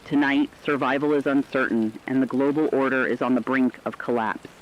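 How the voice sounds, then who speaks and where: A man playing a sombre radio war correspondent, delivering a scripted news bulletin in a solemn, dramatic, deliberate way. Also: medium pitch, steady tone, close to an everyday voice. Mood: grave, urgent. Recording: dull lo-fi radio sound, faint noise.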